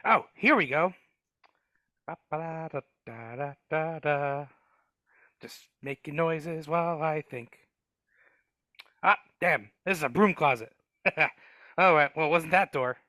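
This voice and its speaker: A man's voice: a little high, slow pace, long pauses, bright, forceful tone, no echo, studio quality. A man doing a grumbly sly dwarf guide. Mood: hesitant. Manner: hesitant, stammering, wheedling. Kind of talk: live dramatic table read over video call. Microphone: video call mic.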